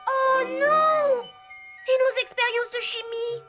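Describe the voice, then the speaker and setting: A woman's voice: very high-pitched, steady tone, slow, music in the background. A woman playing a young, studious and bossy school pupil in a French cartoon dub, with a pert, exclamatory delivery. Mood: surprised.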